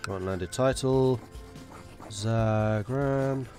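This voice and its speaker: A man's voice: fairly low pitch, very slow, a little mellow, soft background music. Stuffy-nosed man muttering through a strategy game. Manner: chatty, muttering to himself while planning. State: congested from a cold. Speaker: gaming streamer. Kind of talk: casual live gameplay commentary.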